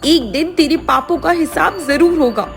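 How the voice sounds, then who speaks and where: A young village woman held captive in a cartoon tale, voiced by a woman narrator. She is brave but emotional, her raised voice trembling over clear music. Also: high voice, normal speed, pitched above the performer's own voice. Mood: tearful defiance.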